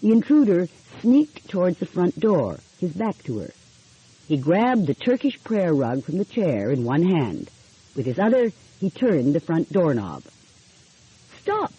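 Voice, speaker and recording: A woman narrating an audiobook in an expressive storytelling style. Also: on the low side, a little slow, slightly rough. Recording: dull, lo-fi audio.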